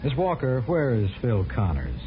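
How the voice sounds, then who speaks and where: An actor playing a brisk, polite but pressing FBI agent in 1950s radio drama style. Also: male voice, mid-range voice, wildly swooping pitch, a little hiss or hum. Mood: brisk, official. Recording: tinny, band-limited 1950s radio recording, music.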